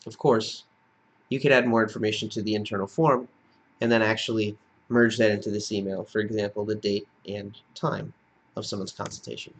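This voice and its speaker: A man with a slightly low voice narrates a screencast tutorial. He explains each step in a plain-spoken, instructional way, as a teacher guiding someone through software. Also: little pitch movement, a little fast.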